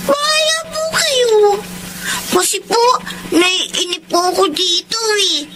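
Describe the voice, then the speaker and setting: A sweet little Filipino schoolgirl in a radio drama. Her childlike voice is extremely high and slow, with loud noise behind it. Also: sing-song, full character voice. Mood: shy, pleading. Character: sweet, bright, lonely little schoolgirl, politely pleading. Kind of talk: Tagalog radio drama acting. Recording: very noisy radio drama recording.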